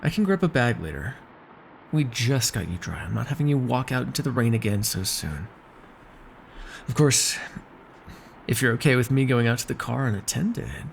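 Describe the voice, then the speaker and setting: A man's voice: mid-range voice, expressive, normal speed, gravelly, pauses often, slight background noise. A man playing a bossy but caring vampire in an ASMR roleplay, teasing and wry, speaking naturally with small hesitations. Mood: wry, casual. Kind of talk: ASMR roleplay, one-sided dramatic dialogue.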